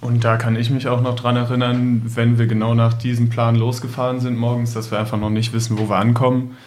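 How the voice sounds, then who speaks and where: A man's voice: medium pitch, darkish tone. A young man casually recalling travels as a podcast guest.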